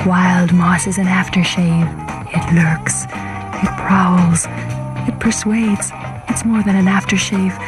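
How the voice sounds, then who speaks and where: A woman's voice: on the low side, flat delivery, slow. A woman playing a sultry, sly, mock-disapproving temptress in a 1960s radio commercial. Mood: sly, teasing. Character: sultry, sly woman praising a dangerous men's fragrance. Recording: vintage radio ad over loud music.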